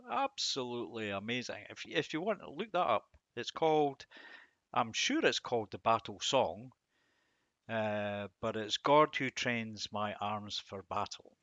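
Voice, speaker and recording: A man giving an informal devotional commentary on verses, musing and halting, with self-deprecating asides. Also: on the low side, fairly bright tone, no room sound, dull audio. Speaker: host of a Bible reading series.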